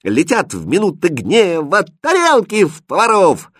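Impudent young kitchen lad for a storybook, high-pitched, mischievous and lilting a man performing. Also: wildly swooping pitch, unhurried, fairly bright tone, breathy edge, exaggerated voice. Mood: gleeful singing. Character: cheeky young boy kitchen helpers, mischievous, mocking.

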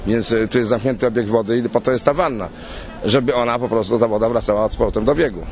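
Fast-talking man explaining off the cuff. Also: mid-range voice. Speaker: street interviewee. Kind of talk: casual spontaneous explanation. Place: outdoors on a city street.

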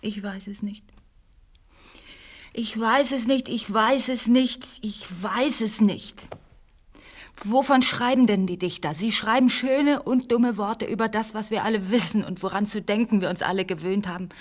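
An actress playing a brooding, intense, bookish mother in a literary radio play, hushed at times and insistent at others. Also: medium pitch, measured pace, close to an everyday voice. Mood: absorbed, weary.

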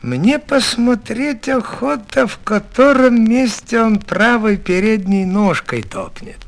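A man's voice: sits high, lively intonation, slow, full character voice. A man voicing a kindly, cheerful old village hunter in a storybook tale, with a folksy, playful, plain-spoken manner. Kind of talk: storybook fairy tale reading.

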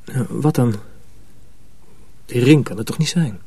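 An actor playing a wary, troubled young miller's apprentice in a radio drama, questioning and guarded, with a soft, husky voice. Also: male voice, medium pitch, a little slow, a little hiss or hum, natural voice. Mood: puzzled.

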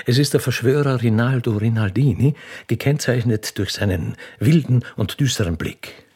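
A man's voice: medium pitch, moderate pace, a little mellow, breathy edge. A man narrating a radio play in a scripted, dramatic style.

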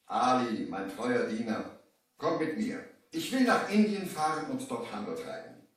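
A man's voice: mid-range voice, big pitch swings, measured pace. A man playing a young merchant who narrates his sea adventure theatrically in a 1970s children's radio play. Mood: earnest, uneasy. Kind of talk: dramatic scripted storytelling in 1970s radio play. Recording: very echoey, slightly distant vinyl transfer.

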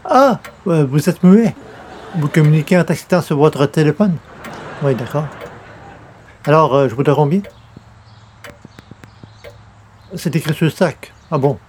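An actor playing a grumbling, playful newspaper columnist with theatrical, hesitant asides, in the style of 1940s radio comedy. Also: male voice, a little high, expressive, normal speed, a little mellow, a little hiss or hum, natural voice. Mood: flustered.

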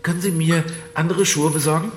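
An actor playing an arrogant captive banker in a radio crime drama, irritable, exasperated and halting. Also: male voice, a little high, fairly even intonation, lightly acted. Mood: uneasy, impatient. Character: arrogant captive male banker, agitated and uncomprehending. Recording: echoey room with music behind.

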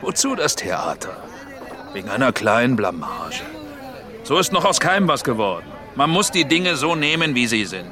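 An actor playing a brash, scheming sea trader in a radio drama, boastful, coaxing and coarse. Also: male voice, mid-range voice, big pitch swings, moderate pace, close to an everyday voice. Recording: busy outdoor crowd behind. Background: outdoor crowd bustle.

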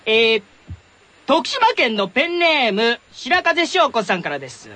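High-toned, bright-voiced male radio co-host. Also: big pitch swings, a little slow. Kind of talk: casual banter and letter reading.

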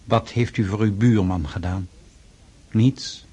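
Husky, solemn preaching freemason. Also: male voice, fairly low pitch, fairly even intonation, mellow. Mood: stern, reproachful. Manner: formal, measured, preaching, insistent. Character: solemn, stern Russian freemason, pious and reproachful. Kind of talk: radio drama acting.

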